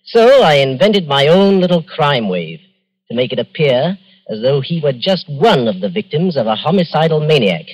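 An actor playing a smug gentleman murderer, suave and condescending, in 1940s radio style. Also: fairly high pitch, very animated, normal speed, husky, rough recording. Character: smug gentleman murderer confessing his scheme coolly.

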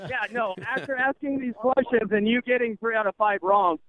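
Radio street-quiz host, male, high voice, teasing and mock-official, dull band-limited feed. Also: normal speed. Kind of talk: comic street interview.

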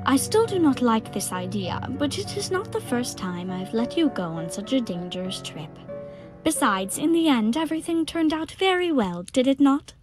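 A woman playing a gentle, worried motherly monster who speaks formally and carefully in an audio drama. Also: high voice, sing-song, moderate pace, music in the background. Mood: cautioning. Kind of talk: fan audio drama acting.

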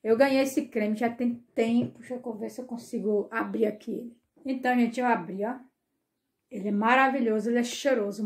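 Chatty woman filming herself on a phone. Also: fairly high pitch. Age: middle-aged. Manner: chatty, repeats words, calls viewers "gente". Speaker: vlogger. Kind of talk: casual vlog chat to viewers. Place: small home bedroom and kitchen, indoors. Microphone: phone held at arm's length.

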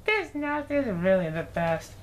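A woman's voice: mid-range voice, sing-song, unhurried, a little hiss or hum, voice pushed up. A woman voicing a bossy, fussy but contented mother bird from a storybook, emphatic and sing-song. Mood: pleased.

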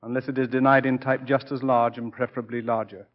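A man acting a brisk, serious sports colleague in a 1940s radio drama. His medium-pitched voice is urgent and declarative. The recording has the dull sound of an old broadcast. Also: flat delivery, close to an everyday voice.

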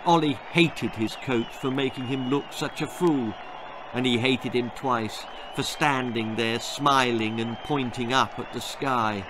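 An older man reading his children's story aloud in an expressive, dramatic narrating style. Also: mid-range voice, measured pace, crowd noise, noisy background. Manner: expressive, theatrical. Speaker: author reading own story. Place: small book-lined room indoors.

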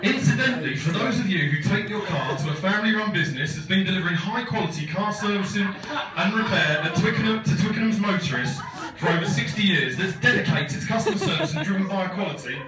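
A man's voice: high voice, lively intonation, fast talker, hardly any pauses, over music. Best man, echoey hall. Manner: dry teasing delivery to a laughing crowd. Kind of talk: wedding speech. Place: large wooden barn wedding hall. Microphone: phone camera far back in crowd. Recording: echoey hall from far off, laughing crowd.

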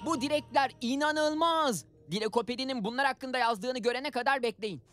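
A woman's voice: high-pitched, moderate pace, lightly acted. A woman voicing an enthusiastic young keeper of magic wishes, explaining them in a children's cartoon dub. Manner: explanatory, presenting. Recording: music under the voice.

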